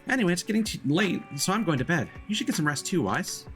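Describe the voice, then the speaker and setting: A man's voice: sits high, very animated, quick pace, a bit bright, soft background music, voice pushed up. A man voicing a friendly, caring young woman in a video game story. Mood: friendly, casual.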